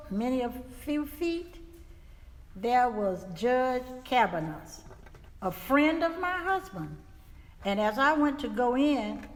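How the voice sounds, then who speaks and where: Grieving 1870s widow female performer, measured and solemn, higher-pitched, stage reading in a resonant hall. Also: fairly bright tone, exaggerated voice. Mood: agitated. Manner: slow, deliberate, solemn storytelling. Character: grieving widow recounting her husband's murder, 1875 Mississippi. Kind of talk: dramatic reading of historical testimony. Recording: reverberant hall.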